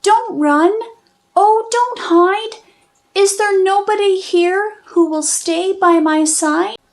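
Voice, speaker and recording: A woman's voice: very high voice, slow, pitched above the performer's own voice, heavily acted. A woman voicing a tiny, lonely girl mouse from a children's storybook, sweet and pleading in a sing-song way.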